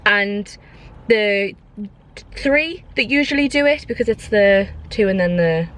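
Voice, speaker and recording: A woman's voice: medium pitch, expressive, unhurried, fairly bright tone, poor audio. A woman vlogging in a casual, opinionated way, repeating points for emphasis. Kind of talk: casual vlog chat. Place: inside a car.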